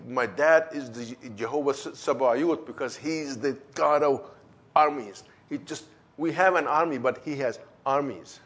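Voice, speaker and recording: Middle-aged guy w a german-turkish accent, kinda high voice, telling his faith story all fired up. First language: not English. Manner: emphatic, fervent storytelling with acted-out dialogue. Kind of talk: personal testimony.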